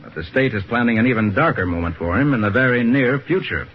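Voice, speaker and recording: A man's voice: mid-range voice, animated, quick pace, over loud music, close to an everyday voice. An actor playing a shrewd, confident private detective in a 1940s radio drama, assured as he lays out the facts. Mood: explaining. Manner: assured, explanatory. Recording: muffled, noisy old radio recording.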